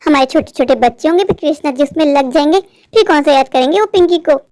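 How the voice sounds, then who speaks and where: A woman's voice: sits high, fast, darkish tone, dry recording, close to an everyday voice. A woman voicing a cruel, deceitful young stepmother in a cartoon melodrama, with a sarcastic, self-satisfied manner. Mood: gloating, scheming. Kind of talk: melodramatic Hindi cartoon moral story.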